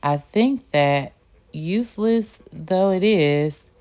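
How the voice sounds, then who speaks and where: A woman reading a children's adventure story aloud in a careful, expressive storytelling manner, as the host of a reading channel. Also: fairly low pitch, takes it slowly.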